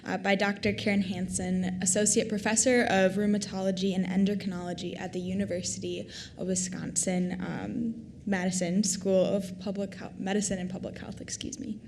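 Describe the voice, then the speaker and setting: A woman's voice: medium pitch, little pitch movement, moderate pace, vocal fry, a little mellow, slight background noise. A young woman in her twenties, a college student, reading a formal introduction in a lecture hall with hesitant fillers. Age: 20s. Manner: reads with nervous fillers.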